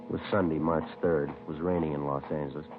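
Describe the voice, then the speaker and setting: An actor playing a terse, no-nonsense police detective sergeant in understated, deadpan 1950s radio drama style on an old, noisy recording. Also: male voice, rumbling low, fast talker, narrow, tinny audio, over loud music. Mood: flat, matter-of-fact. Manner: deadpan, clipped.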